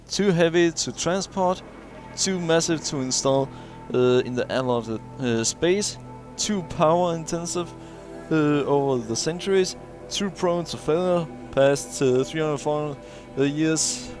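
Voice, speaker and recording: A man's voice: on the high side, darkish tone. A man playing through a video game, reading in-game logs aloud and stumbling over words, with many "uh" fillers and small chuckles. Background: faint game music.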